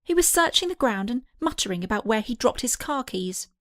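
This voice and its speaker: A woman's voice: fairly high pitch, very animated, brisk, a bit bright, no echo, clean studio sound. A woman narrating a scripted children's story as an audiobook reader.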